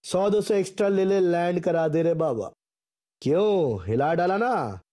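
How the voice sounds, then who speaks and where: A man's voice: high-pitched, animated, measured pace, warm tone. A man delivering short scripted gaming callouts and catchphrases in a theatrical, punchy way. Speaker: gaming creator voicing a game voice pack.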